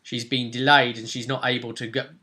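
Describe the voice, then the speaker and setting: A man's voice: medium pitch, little pitch movement, a little fast, sharp tone. A man hosting a casual, playful tabletop role-play recap in a jokey, hammy way. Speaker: host and game master recapping a tabletop role-play story. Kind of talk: casual, jokey story recap for a video audience.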